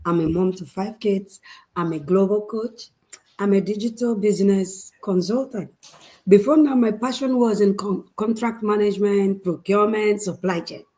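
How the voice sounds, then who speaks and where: A woman from Nigeria speaking as a panelist in an online show, in a measured and formal way. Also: medium pitch, mellow. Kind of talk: video call panel talk.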